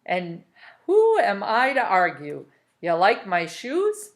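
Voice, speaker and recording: A woman's voice: mid-range voice, huge pitch swings, takes it slowly, full character voice. A woman voicing a chatty, grinning man who floats about, a slick and breezy persuader with brash, folksy patter, in a storybook read aloud. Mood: breezy, boastful.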